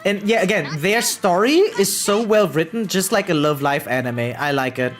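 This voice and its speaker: An excitable gaming streamer chatting casually and slangily on a livestream, swearing freely. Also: mid-range voice, moderate pace. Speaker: variety game streamer. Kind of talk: casual livestream chat about gacha games. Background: background music.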